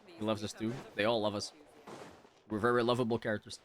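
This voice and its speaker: A man livestreaming a game, chatting casually with viewers and thinking out loud as he reacts. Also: on the low side, flat delivery, fast, noisy background. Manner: chatty, reads chat aloud, thinks out loud. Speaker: game streamer.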